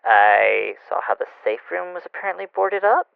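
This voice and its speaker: Over a narrow phone filter comes an anxious male coworker, acted by a man in an audio drama. He stammers nervously, with creaks in the voice and a very animated pitch. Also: moderate pace, no room sound, full character voice. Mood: uneasy. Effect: phone or radio filter. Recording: muffled, band-limited phone call effect.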